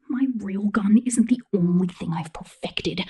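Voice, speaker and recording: Woman whispering as a menacing, breathy robot girl. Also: mid-range voice, mellow. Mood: possessive, sinister. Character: menacing, possessive young female killer robot drone, megalomaniac yet lonely. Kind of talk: ASMR roleplay, intimate whispered monologue.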